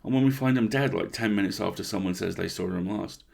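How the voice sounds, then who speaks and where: A man's voice: sits low, fast, vocal fry, a little mellow, slightly rough, full character voice. A man voicing a blunt, rattled park ranger in a horror story, confiding and swearing in an incredulous, conversational way. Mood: uneasy, confiding.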